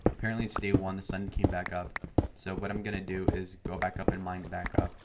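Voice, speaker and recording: Young male gamer, deep and monotone, meandering chatter, heavy noise behind. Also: fairly quick. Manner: meandering, full of fillers and restarts. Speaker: gaming video creator. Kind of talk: rambling commentary over gameplay. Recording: loud background noise.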